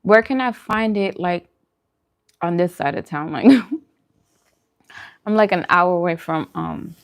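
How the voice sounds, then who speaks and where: A woman's voice: on the low side, measured pace, clear, resonant tone. A woman chatting casually and in a friendly way as she hosts a food livestream, answering viewers' comments.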